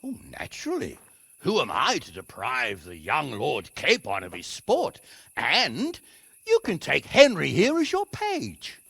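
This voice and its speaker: An actor playing a gruff, hot-tempered middle-aged nobleman who scolds and commands with biting sarcasm in a video game, his voice gravelly. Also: mid-range voice, wildly swooping pitch, a little slow, fairly bright tone, no room sound, full character voice. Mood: sarcastic. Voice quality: gravelly, raspy. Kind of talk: video game dramatic acting.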